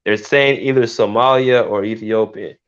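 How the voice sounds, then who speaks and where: A man hosting a basketball podcast in casual, relaxed livestream chat. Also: fairly low pitch, normal speed, smooth. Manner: relaxed, conversational, drawling "man" and "dude". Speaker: podcast host. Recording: muffled lo-fi livestream audio.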